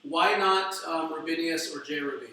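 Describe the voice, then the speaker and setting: Man from the audience, somewhat high and hesitant, heard faintly across an echoey room. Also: measured pace, bright, forceful tone. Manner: hesitant, with "um" fillers. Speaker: audience member asking a question.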